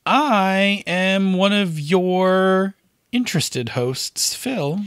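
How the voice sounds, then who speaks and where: A man's voice: sits high, expressive, drawn-out words, fairly bright tone, smooth, no room sound. A man co-hosting a podcast, explaining things methodically and spelling out definitions into a close microphone. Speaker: podcast co-host.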